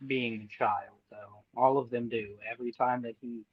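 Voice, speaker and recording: A man's voice: medium pitch, steady tone, normal speed, muffled recording. A man co-hosting a podcast, talking in a blunt, crude, sardonic way. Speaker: podcast co-host. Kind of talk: casual profane podcast banter.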